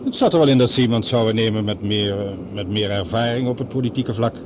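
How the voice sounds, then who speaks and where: A man's voice: fast, natural voice. A man playing a reserved professor in a radio play, courteous in a dry way. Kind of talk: Dutch radio play acting. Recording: radio drama with loud cabin rumble.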